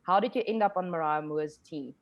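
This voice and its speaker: A woman's voice: low-pitched, a little fast, lo-fi sound. A woman hosting a casual interview show, upbeat and asking questions, with some fillers. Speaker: podcast host. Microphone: video call.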